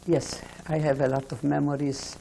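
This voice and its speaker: A Hungarian-born woman in her eighties, recalling her life slowly and haltingly in an oral history interview. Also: low-pitched, flat delivery, vocal fry, dark tone, slight background noise. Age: 80s. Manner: slow, halting recollection with restarts.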